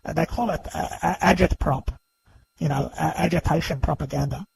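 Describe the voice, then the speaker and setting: A man giving unscripted, argumentative commentary in a halting but emphatic way, repeatedly seeking agreement. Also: on the high side, creaky. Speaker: online video commentator. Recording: faint background noise.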